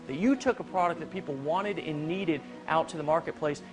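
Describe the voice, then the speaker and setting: A man presenting a business opportunity, making a blunt, hard-selling pitch straight to viewers. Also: fairly quick. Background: background music.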